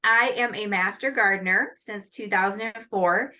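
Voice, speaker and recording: A woman's voice: medium pitch, normal speed, sharp tone. A middle-aged woman from the American Midwest being interviewed, answering in a poised, articulate way and listing her work with enthusiasm. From Northwest Iowa, USA. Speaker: guest, lawyer and pageant titleholder.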